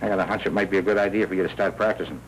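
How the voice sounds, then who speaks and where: A man's voice: sits low, steady tone, racing, natural voice. An actor playing a talkative, needling city hall newsman in a 1940s film noir. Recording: noisy 1940s film soundtrack.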